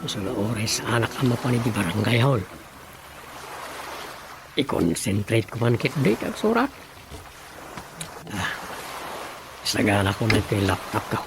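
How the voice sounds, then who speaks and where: A man playing a talkative married village councilman in an Ilocano radio drama comedy, thinking aloud and explaining with many exclamations in a gravelly voice. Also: on the low side, lively intonation, normal speed, pauses often, background noise. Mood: musing to himself.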